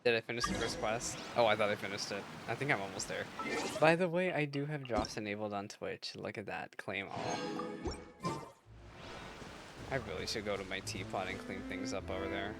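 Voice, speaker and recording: A woman's voice: extremely low pitch, normal speed, gravelly. A woman streamer giving chatty, reactive commentary on a game playthrough in a casual way. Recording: loud game music behind.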